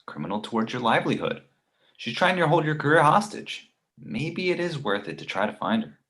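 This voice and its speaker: A man's voice: medium pitch, big pitch swings, fairly quick, fairly bright tone. A man playing a nervous, nagging confidant who argues and worries aloud in a screenplay table read. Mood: cautioning. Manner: argumentative, worrying aloud. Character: Victor, nervous nagging male confidant of a writer.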